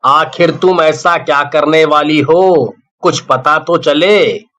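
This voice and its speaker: A self-serving elder brother from a cartoon family tale, played by a man. He reasons in a measured, slow way, in a fairly high voice. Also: fairly bright tone, muffled recording. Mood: curious. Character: self-serving married elder brother, led by his wife.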